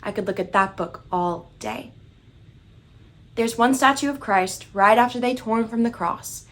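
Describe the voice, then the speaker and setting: A young woman playing an earnest, sensitive, devout teenage girl in a theatrical monologue, halting and reverent. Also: a little high.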